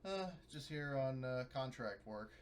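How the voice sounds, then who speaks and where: A man's voice: medium pitch, animated, measured pace. A man talking casually as a player in a tabletop game, hesitant and thinking aloud.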